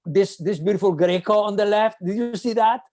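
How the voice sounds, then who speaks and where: A man's voice: high voice, a little fast, darkish tone. A man hosting an interview, effusive and rambling, with many restarts. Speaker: ensemble director and host. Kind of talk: enthusiastic interview chat.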